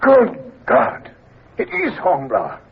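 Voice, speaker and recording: An actor playing a commanding senior naval captain who talks warmly and briskly. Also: male voice, high voice, huge pitch swings, vocal fry, heavily acted. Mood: hearty. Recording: tinny, hissy old radio broadcast.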